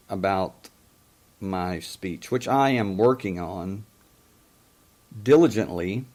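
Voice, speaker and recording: A man in his fifties who stutters and stammers, hosting a call-in show in a casual monologue, often pausing to search for words. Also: on the low side, gravelly, darkish tone. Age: 50s. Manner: many pauses and hesitations.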